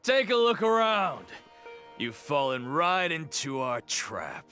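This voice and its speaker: Cocky, wildly swooping mercenary villain. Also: male voice, fairly high pitch, very animated, slow pace, over loud music. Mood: gloating. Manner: boastful, taunting, theatrical. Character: cocky male mercenary villain, sneering and menacing. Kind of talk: video game voice acting.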